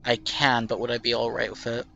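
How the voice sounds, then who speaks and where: A man's voice: medium pitch, monotone, a little fast, creaky, pushed and bright, lo-fi sound, a little hiss or hum. Nasal young man musing aloud. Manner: thoughtful, self-deprecating, rambling answers. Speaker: gamer playing a question game. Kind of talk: casual reflective commentary.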